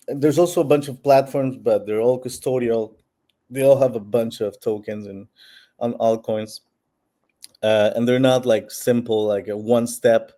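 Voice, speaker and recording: Mellow-voiced man explaining at length. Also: mid-range voice. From Peru. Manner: explanatory, many fillers and restarts. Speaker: founder of a bitcoin platform. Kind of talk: interview answers.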